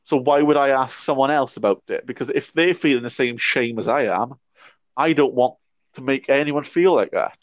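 A young man in his twenties speaks as a podcast guest, thinking aloud with a stammer and frequent restarts. His voice sits at an ordinary pitch. The audio is dry and a little muffled, like a low-fidelity home recording. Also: normal speed, dry recording. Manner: reflective, talks with a stammer and restarts. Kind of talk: casual conversation.

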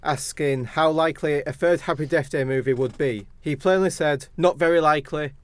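Higher-pitched man presenting casually, dry sound. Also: normal speed, dry recording. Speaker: podcast co-host. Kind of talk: casual news read-out and chat.

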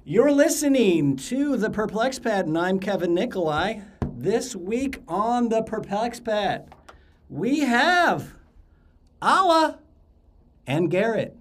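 A man's voice: high-pitched, sing-song, takes it slowly. A man hosting a podcast, chatting casually with guests and often repeating words as he restarts. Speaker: podcast host.

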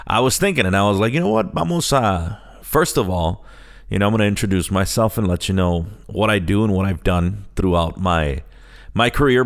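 A middle-aged man from Chicago with an American accent, talking casually as a podcast host and slipping between English and Spanish. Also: fairly low pitch. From Chicago, United States. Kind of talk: casual solo podcast introduction.

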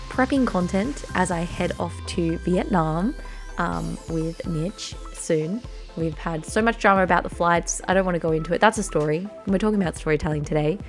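A woman hosting a podcast interview in an upbeat, enthusiastic way. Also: fairly low pitch. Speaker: podcast host.

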